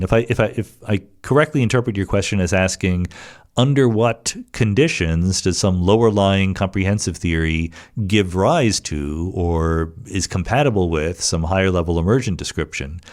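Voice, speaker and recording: A man's voice: low-pitched, normal speed, a little mellow. A middle-aged man hosting a podcast, answering listener questions in a conversational way with frequent fillers and restarts. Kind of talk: solo Q&A podcast, thinking aloud.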